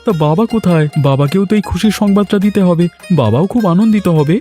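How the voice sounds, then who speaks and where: Man as young cartoon villager, easygoing, dutiful, fairly high male voice, rapid pace. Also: expressive, dark tone, faint music behind. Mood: happy, eager. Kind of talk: Bengali moral-story cartoon voice acting.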